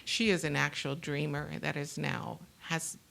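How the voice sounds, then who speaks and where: A woman hosting a panel discussion, her voice low and gravelly. She talks haltingly, with many fillers and restarts. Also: little pitch movement, fairly bright tone. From New Mexico, USA. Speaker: talk show host.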